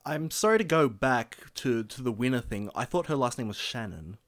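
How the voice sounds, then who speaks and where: A man with a medium-pitched voice in a casual film discussion. He is a co-host who explains his view somewhat hesitantly. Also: expressive, moderate pace.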